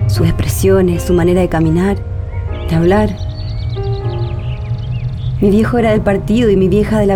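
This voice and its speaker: A woman's voice: medium pitch, close to an everyday voice. A woman with a Chilean accent playing a grown daughter who tenderly recalls her parents in a radio drama. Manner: reflective, reminiscing. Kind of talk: radio drama testimony acting. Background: music.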